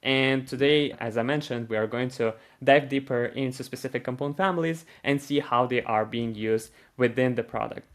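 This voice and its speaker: A man hosting an online design panel, upbeat and quick to praise each speaker. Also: medium pitch. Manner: upbeat, repeats short praise. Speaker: host.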